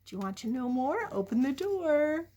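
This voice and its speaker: Animated older woman reading to children, high-pitched. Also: moderate pace. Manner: playful, addressing young listeners with questions; dramatic, shifting between hushed whispers and loud exclamations. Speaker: children's story reader. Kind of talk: animated picture-book read-aloud.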